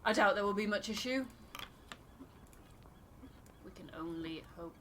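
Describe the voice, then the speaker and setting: A woman's voice: mid-range voice, unhurried, sharp tone, a little hiss or hum. A woman voicing a stern, exacting expedition officer, speaking formally and with authority in a narrative game. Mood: brisk, formal. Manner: formal, clipped, authoritative wording.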